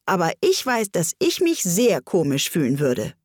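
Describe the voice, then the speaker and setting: A woman's voice: fairly low pitch, huge pitch swings, normal speed, breathy edge, dry recording, clean studio sound, exaggerated voice. A woman voicing a polite, earnest, confused young girl in a storybook, speaking a little hesitantly.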